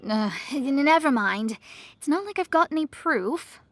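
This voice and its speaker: A woman's voice: sits high, expressive, unhurried, a bit bright, a little hiss or hum. A woman voicing a proud, troubled young astrologer in a video game, guarded and brooding. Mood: reluctant.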